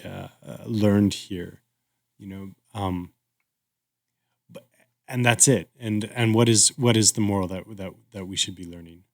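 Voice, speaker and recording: A man hosting a podcast, chatting casually with a co-host. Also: lowish voice.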